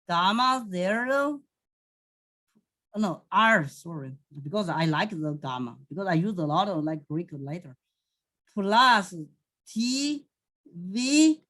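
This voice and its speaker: A woman from southwest China with a Chinese accent, teaching math in a recorded lecture and often pausing to correct herself. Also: mid-range voice, fairly bright tone. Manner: slow, halting, thinking aloud, self-correcting. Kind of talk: recorded lecture over a digital whiteboard.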